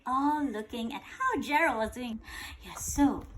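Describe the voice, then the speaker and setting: A woman reading a picture book aloud to a child in an animated way, pausing to ask questions and repeating phrases. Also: a little high. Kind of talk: interactive bedtime read-aloud.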